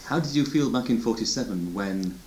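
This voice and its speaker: A man's voice: on the low side, expressive, fairly quick, darkish tone, breathy edge. A man interviewing an older officer, asking probing follow-up questions.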